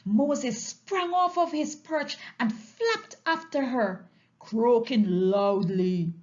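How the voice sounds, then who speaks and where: A woman reads a story aloud in a fairly high voice. Her delivery is unhurried and very animated, made for family listening. The home recording is slightly dull and lo-fi. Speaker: audiobook reader.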